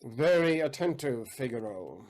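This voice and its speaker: A man's voice: medium pitch, sing-song, a little slow, darkish tone. A man acting a jealous, imperious count in a comic period play, haughty and theatrical. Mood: suspicious. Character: jealous, imperious count, suspicious of his servant.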